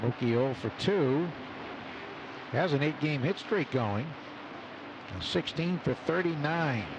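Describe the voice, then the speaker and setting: A man with a slightly high voice works as a baseball play-by-play announcer on live radio. Clear outdoor ballpark noise surrounds his commentary. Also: expressive, slow pace. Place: open broadcast booth at outdoor ballpark. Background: loud stadium ambience.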